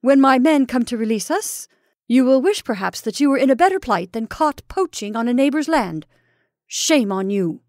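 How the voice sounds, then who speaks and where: A woman's voice: high voice, animated, normal speed, darkish tone, no room sound, studio quality, pitched above the performer's own voice. A woman reading aloud, voicing a proud, fierce man trapped under a fallen tree, speaking in a raised, indignant way. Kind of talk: storybook reading.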